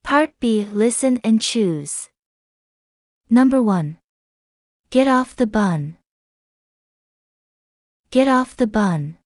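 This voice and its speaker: A woman narrating an English listening test, reading each item slowly and deliberately twice. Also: on the high side, animated, no room sound. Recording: dry, close studio sound.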